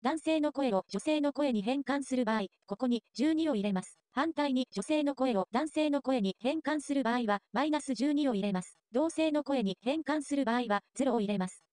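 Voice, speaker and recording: A woman reading scripted narration for a software tutorial. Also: sits high, moderate pace, hardly any pauses, no echo, clean studio sound.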